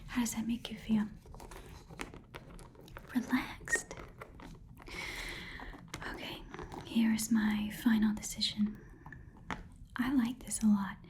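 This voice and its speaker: A woman voicing a chatty, haggling goblin pawn shop keeper in a breathy whispered ASMR roleplay, with casual muttered asides. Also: on the high side, flat delivery, slow pace, long pauses, background noise. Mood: skeptical, musing.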